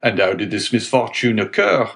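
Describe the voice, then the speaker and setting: A man's voice: fairly low pitch, big pitch swings, moderate pace, vocal fry, a bit bright, exaggerated voice. A man voicing an anxious, practical middle-aged ship owner who asks eager questions.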